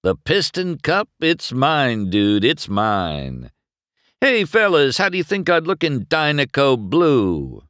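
A man's voice: medium pitch, wildly swooping pitch, takes it slowly, a bit bright, no room sound, clean studio sound, voice pushed up, full character voice. A man voicing a brash male rival race car, boastful and mocking in his talk. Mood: gloating, mocking.

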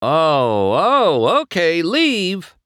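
A man's voice: on the high side, huge pitch swings, drawn-out words, fairly bright tone, clean tone, dry recording, studio quality, voice pushed up, exaggerated voice. A man voicing a cocky, self-centred young male race car with a smug, sarcastic way of talking. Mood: startled, loud.

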